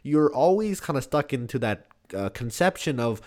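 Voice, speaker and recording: A man's voice: medium pitch, lively intonation, moderate pace, darkish tone. A young man, a student applying to college, talking casually and reflectively with lots of "like" and "you know". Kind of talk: casual conversation.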